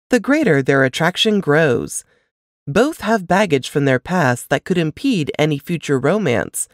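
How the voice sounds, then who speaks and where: A woman giving a polished, expressive scripted narration of a romance novel summary. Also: deep voice, no echo, clean studio sound. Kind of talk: scripted narration of a book blurb.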